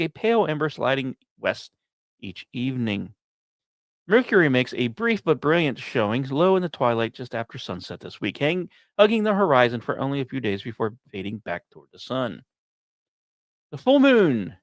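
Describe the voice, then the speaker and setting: Male podcast host, medium pitch, scripted science storytelling, dramatic pauses, the odd casual aside, very dry recording. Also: no room sound.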